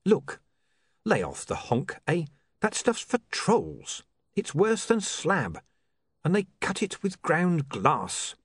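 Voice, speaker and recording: A man voicing a smooth, cynical criminal schemer in an audiobook, sardonic and condescending in his exasperation. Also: high voice, huge pitch swings, takes it slowly, no echo, studio quality, voice pushed up, full character voice. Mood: weary exasperation. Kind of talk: audiobook character voice.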